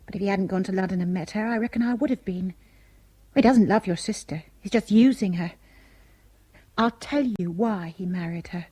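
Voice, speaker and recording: An actress playing a young female housemaid on a remote island, who speaks in a hushed, confiding way in a radio drama. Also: medium pitch, slightly rough, no echo, lightly acted. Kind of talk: 1970s radio drama acting.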